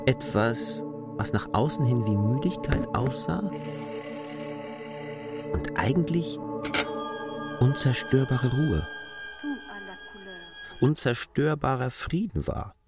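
A man narrating a literary radio drama as a reflective observer, in an expressive storytelling manner. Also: mid-range voice, slow pace, long pauses. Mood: reflective, delighted. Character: male narrator, reflective observer of a bustling absurd world. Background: music.

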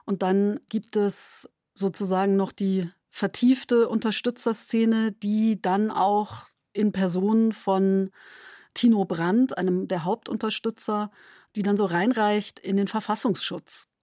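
A woman who works as a radio drama editor, explaining in an interview in a measured way, searching for precise terms. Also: mid-range voice, fairly even intonation, dark tone, no room sound, clean studio sound.

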